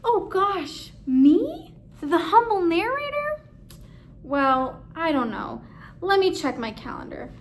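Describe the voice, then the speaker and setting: A woman voicing a meddling, self-important and excitable storybook narrator in a pompous, theatrical manner. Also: very high-pitched, slow, voice pushed up, heavily acted. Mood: flattered, coy. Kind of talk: children's picture book read-aloud.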